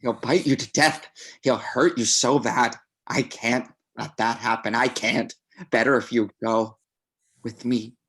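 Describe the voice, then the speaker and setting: A man playing a tormented, unstable killer, halting and menacing, in an amateur screenplay read over a video call. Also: mid-range voice, fairly even intonation, a bit bright. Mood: anguished. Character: tormented, unstable male killer pleading with captive lover. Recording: home video-call audio.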